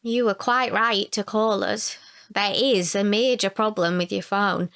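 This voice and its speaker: A woman voicing a calm, polite repairman who explains things patiently in a short story. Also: medium pitch, unhurried, no echo, studio quality. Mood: calm, serious. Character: calm, polite, friendly repairman, confident in his work.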